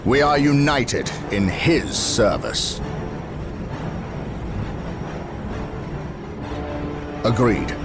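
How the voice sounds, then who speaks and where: An actor playing a stern, distrustful space marine captain who gives orders with grave, clipped authority. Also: male voice, mid-range voice, very animated, takes it slowly, music in the background. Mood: resolute.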